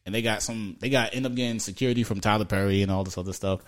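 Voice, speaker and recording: A man's voice: on the low side, steady tone, rapid-fire. A man, co-hosting a podcast in emphatic, slangy, profane casual chat. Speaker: podcast co-host. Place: Atlanta east side.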